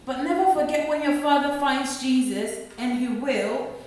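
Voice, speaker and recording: A woman's voice: sits high, measured pace, darkish tone. A woman playing a devout, long-suffering wife and mother in a radio play, earnest and pleading, hurt but defiant. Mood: hurt, tender. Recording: echoey room, far from mic.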